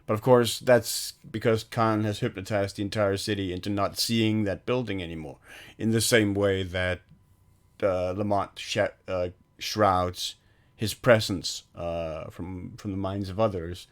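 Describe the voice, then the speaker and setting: A man's voice: fairly low pitch, fairly even intonation, measured pace. A man co-hosting a film podcast, talking casually and rambling with fillers and restarts.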